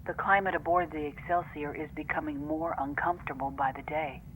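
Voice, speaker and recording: A woman playing a serious female first officer who dictates formal, measured log entries in a fan audio drama. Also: low voice, steady tone, fairly bright tone, a little hiss or hum, low-quality recording.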